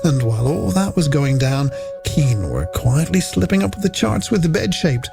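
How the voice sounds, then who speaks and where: Animated male late-night radio host. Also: on the high side, normal speed, vocal fry. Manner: chatty, playful, scripted alliterative patter. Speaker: radio presenter. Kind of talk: late-night music radio show hosting. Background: music.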